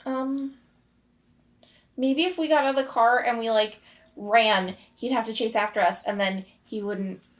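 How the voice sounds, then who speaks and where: A woman playing a nervous, shaken young girl in a tabletop game, speaking in a halting, uncertain way. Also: on the high side, fairly even intonation, lightly acted. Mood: unsure, scared. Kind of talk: tabletop roleplay.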